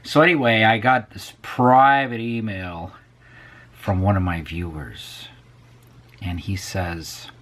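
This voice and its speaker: A man's voice: on the low side, steady tone, slow pace, a bit bright. A man hosting a casual vlog, rambling and musing to viewers, often dropping to a near whisper. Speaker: vlog host.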